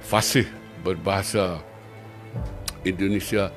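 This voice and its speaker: A male professor with a Malaysian accent answers interview questions in a frank, deliberate way. Faint music plays in the background. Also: low-pitched, fairly even intonation.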